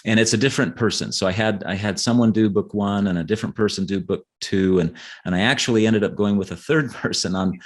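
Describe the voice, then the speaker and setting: A man's voice: on the low side, fast, few pauses. A middle-aged man chatting earnestly as an interview guest, often repeating words and checking in with "right?". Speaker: author guest. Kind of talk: casual interview chat.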